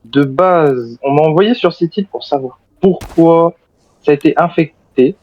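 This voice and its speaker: A man's voice: a little high, moderate pace, dull audio, close to an everyday voice. A man playing an armed, wary but cooperative soldier survivor in an improvised zombie game roleplay, speaking formally and hesitantly. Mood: explaining, hesitant.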